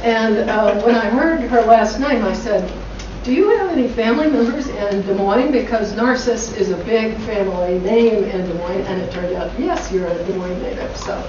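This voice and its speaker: A woman's voice: mid-range voice, moderate pace. A middle-aged woman introducing guests at a forum with chatty asides, heard from across a conference room. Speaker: school director and forum host. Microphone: far room camera mic. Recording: echoey room from far off, dull lo-fi, noisy.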